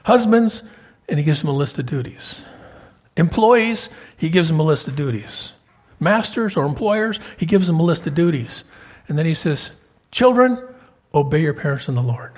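A man's voice: on the high side, darkish tone, slightly rough. A man preaching a sermon, folksy with joking asides, rising to emphatic peaks on key words.

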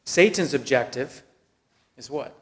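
Man, American accent, preacher, sermon and scripture reading, medium pitch, deliberate delivery, emphatic stresses, soft phrase endings. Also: animated. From Texas, United States. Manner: deliberate, emphatic phrases that drop softly at the end.

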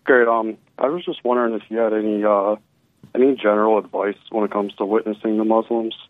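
Low flat male caller on a phone line. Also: fairly quick, no room sound. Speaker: caller to a call-in show. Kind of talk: casual question. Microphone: telephone. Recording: band-limited tinny phone line.

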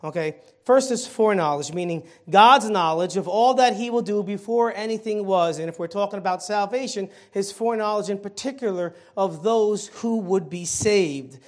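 Lay preacher, man, high-pitched, slightly hoarse, casual sermon delivery that builds on key points. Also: moderate pace, few pauses. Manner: conversational, many fillers and restarts, rising emphasis on key points. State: slightly hoarse throat, clearing it. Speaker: lay preacher filling the pulpit. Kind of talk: evening church sermon. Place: church sanctuary.

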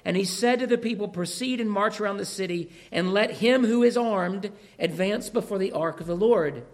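A man preaching a sermon through a scripture passage, losing his voice, with a measured manner and rhetorical questions. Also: sits high.

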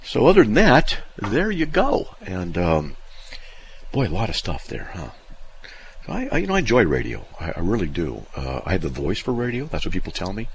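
Middle-aged guy hosting call-in radio, real deep voice, swings up and down a lot, jokey and folksy, little bit of hiss. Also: huge pitch swings, normal speed. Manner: folksy, self-deprecating storyteller. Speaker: call-in radio show host. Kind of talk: casual call-in radio chat.

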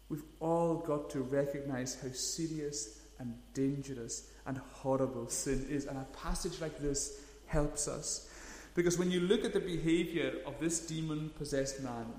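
A man's voice: fairly high pitch, a little mellow. A man, preaching a sermon in an earnest, emphatic way, leaning hard on key words. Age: 30s. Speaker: pastor. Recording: echoey hall, long reverb.

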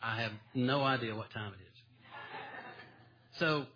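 A man's voice: medium pitch, slow, lo-fi sound. A man preaching a sermon in a church, folksy and self-deprecating, with homespun stories and plain illustrations. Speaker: pastor. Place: church sanctuary.

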